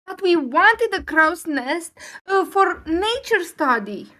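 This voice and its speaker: A woman's voice: very high voice, big pitch swings, slow, bright, forceful tone, pitched above the performer's own voice, exaggerated voice. A woman voicing an earnest, dreamy, chattering schoolgirl in a storybook reading, effusive and wordy.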